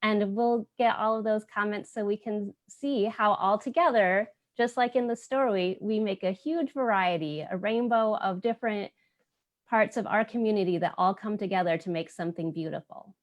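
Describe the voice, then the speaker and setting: A woman's voice: mid-range voice, moderate pace. A woman hosting a community storytime, speaking casually and encouragingly straight to the viewers. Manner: encouraging, addresses viewers directly. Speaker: host of a community storytime program. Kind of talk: casual presenting to online viewers.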